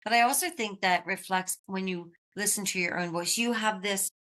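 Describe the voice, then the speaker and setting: A woman's voice: mid-range voice, normal speed, pushed and bright. A woman from the United States hosting a podcast interview, chatty and reflective, laughing often. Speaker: podcast host. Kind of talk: casual interview chat.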